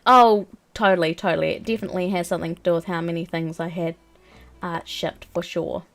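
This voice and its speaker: A woman's voice: on the low side, fairly even intonation, a little fast, gravelly, a bit bright, soft background music. A young woman, chatting casually on a live stream while she plays a game. She rambles, with lots of ums and likes, and sounds a bit sniffly from a cold. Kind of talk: casual chat while playing a video game.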